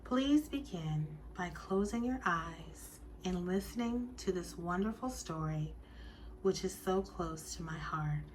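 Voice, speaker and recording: A woman telling a story as a guided meditation, soothing and deliberate, with hushed phrase endings. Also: on the low side, expressive, a little hiss or hum, rough recording.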